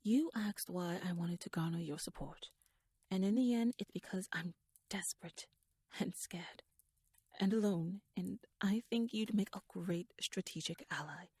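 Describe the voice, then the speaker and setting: A woman playing a shrewd, verbose young noblewoman in an ASMR audio roleplay, speaking softly in formal, wry, rambling phrases and often slipping into breathy whispers. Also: lowish voice, a little slow, no echo, studio quality. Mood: pleading, vulnerable. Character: shrewd, wordy young noblewoman, nervous yet playfully bold schemer. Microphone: close microphone, dry.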